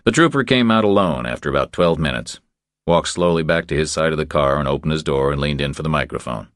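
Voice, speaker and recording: A man's voice: extremely low pitch, animated, fast talker, fairly bright tone, no room sound, studio quality. A man reading a scripted thriller narration as an audiobook narrator.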